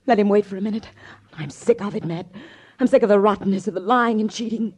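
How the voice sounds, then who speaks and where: A woman's voice: medium pitch, quick pace, a little mellow, breathy edge, close to an everyday voice. An actress playing an anxious, earnest female party comrade in an emotional 1950s radio drama performance. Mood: weary, urgent. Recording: old radio recording, faint crowd noise.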